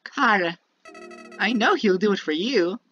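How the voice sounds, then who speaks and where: A woman's voice: mid-range voice, lively intonation, unhurried, a bit bright, husky, dull audio. A woman voicing a sinister, childlike talking flower from a video game, bitter and manipulative, delivering a theatrical monologue that moves between sweet musing and menace. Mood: confiding, scheming. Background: prominent music.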